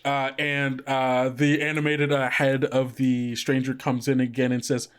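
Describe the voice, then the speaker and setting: A man's voice: mid-range voice, little pitch movement, a little slow, clean tone. A man narrating as game master, rambling with many fillers and frequent laughs.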